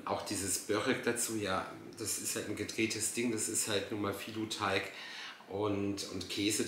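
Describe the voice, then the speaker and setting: Sarcastic low-voiced man in a roomy space. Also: bright, forceful tone, slight background noise. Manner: exasperated, sarcastic. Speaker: host reacting to a TV dinner show. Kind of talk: casual reaction commentary.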